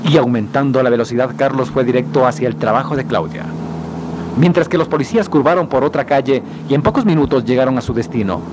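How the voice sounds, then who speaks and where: A man narrating a scripted radio drama in a deliberate, theatrical storytelling manner. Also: mid-range voice. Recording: noisy 1990s radio drama, street sounds behind.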